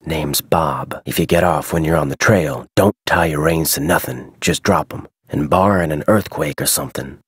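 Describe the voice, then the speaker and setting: A gruff ranch wrangler from a video game, with an extremely low, heavily rasping voice. He talks in a terse, folksy Western American cowboy drawl, guarded and brusque, recorded dry and close. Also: moderate pace, hardly any pauses, dry recording. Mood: gruff, instructing. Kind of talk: scripted game dialogue.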